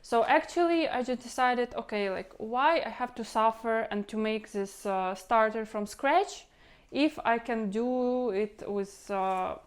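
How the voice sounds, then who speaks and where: Clear-voiced woman with a Ukrainian accent. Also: fairly high pitch, unhurried. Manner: rambling, repeats words, non-native phrasing. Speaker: home cooking channel host. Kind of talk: casual instructional vlog talk.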